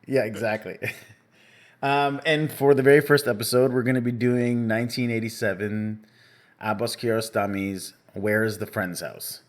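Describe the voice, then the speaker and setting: A young man from Canada co-hosting a podcast in casual chat, relaxed and rambling with many fillers. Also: medium pitch, moderate pace. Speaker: podcast co-host.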